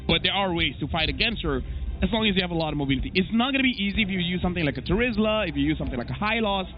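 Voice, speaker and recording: A man's voice: high-pitched, racing, lo-fi sound, faint music behind. A man casting an esports match, joking and bantering with his co-caster and the chat. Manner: playful, joking with chat, animated. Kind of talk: live esports commentary and banter.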